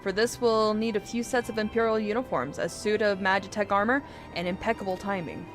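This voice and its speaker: A woman's voice: medium pitch, steady tone, fairly quick. Woman streamer reading game dialogue over music. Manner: acts out dialogue, casual swearing asides. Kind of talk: livestream read-aloud of video game dialogue. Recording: music behind the voice.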